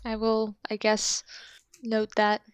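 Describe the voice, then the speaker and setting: Woman player, smooth voice with fry, dry close sound, higher pitch. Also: completely flat delivery, slow, no echo. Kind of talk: casual game talk.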